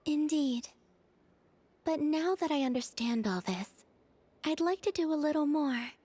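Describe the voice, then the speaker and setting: A woman voicing a serene, polite young moon maiden in a video game, with a soft, airy voice and a gentle, measured, formal way of speaking. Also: high voice, expressive, a little hiss or hum. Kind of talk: scripted video game voice acting. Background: faint music.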